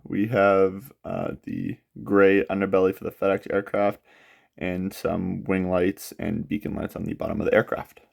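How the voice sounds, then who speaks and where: Young man, very deep voice, gravelly, stuffy nasal cold, casual unboxing host. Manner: relaxed, many filler pauses. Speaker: aviation model channel host. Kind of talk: casual product unboxing walkthrough.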